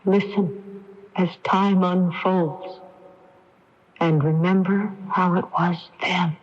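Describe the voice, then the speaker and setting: A woman's voice: on the low side, steady tone. An actress playing a solemn young woman recalling an ancient past life, telling it with intense drama in 1980s radio style. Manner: slow, intense, dramatic storytelling. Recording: dull lo-fi AM radio recording.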